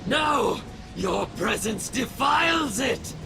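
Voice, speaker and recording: An actor playing a fanatical, menacing cult zealot in a video game, with a gravelly voice and slow, declamatory delivery. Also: male voice, very high-pitched, expressive, full character voice. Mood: outraged. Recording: loud dramatic game music behind.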